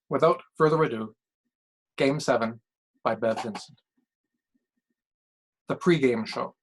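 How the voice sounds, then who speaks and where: Man narrating a short story, slightly husky. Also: mid-range voice. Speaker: author reading own short story.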